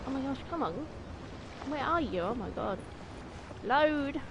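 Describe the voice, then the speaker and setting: A woman's voice: sits high, animated, a little slow, very noisy. A woman giving casual live game-stream commentary, playfully repeating her words as she reacts.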